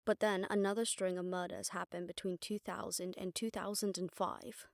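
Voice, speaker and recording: A sharp-tongued witch detective played by a woman in an intimate audio drama. Her voice has a pronounced creak and sits in a very dry recording. She explains things in a casual, wry way, like a seasoned investigator briefing an exasperating partner. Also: lowish voice, flat delivery, normal speed, no room sound. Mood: focused, explaining. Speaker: audio roleplay voice actor. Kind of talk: conversational audio roleplay acting.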